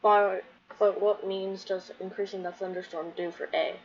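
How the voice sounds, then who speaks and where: A woman's voice: medium pitch, level tone, moderate pace, a little mellow. A woman giving casual commentary while playing a game, thinking aloud in a hesitant way. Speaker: gamer streaming a playthrough. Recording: dull lo-fi mic, faint noise.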